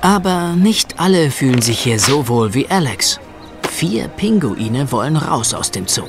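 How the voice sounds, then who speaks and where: A man reads scripted narration for a children's audio play. His mid-pitched voice is expressive and very lively, with music playing behind it. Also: a little slow, vocal fry. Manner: expressive storytelling. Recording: music under the voice.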